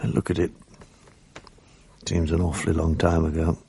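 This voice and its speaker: A man's voice: a bass voice, monotone, moderate pace, mellow, slightly rough, heavily acted. An actor in his fifties with a British accent playing a bereaved, sleepless writer who narrates his days in a dry, self-mocking, gloomy way in a radio drama. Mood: weary. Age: 50s. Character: weary bereaved middle-aged writer, sleepless and bitter.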